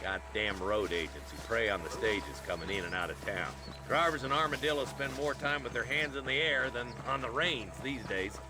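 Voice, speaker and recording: An actor playing a weary, gruff old-west marshal in a video game, with a folksy, wry American Western drawl. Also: male voice, mid-range voice, moderate pace, few pauses, close to an everyday voice. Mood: dry, weary. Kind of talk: video game dramatic acting.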